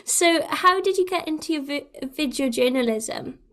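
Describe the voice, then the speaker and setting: A young Welsh woman hosts a casual podcast with a high, bright-sounding voice. She is bubbly and giggles often between questions. Her Welsh accent comes through clearly in relaxed conversation. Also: moderate pace. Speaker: podcast host. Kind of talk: casual chat.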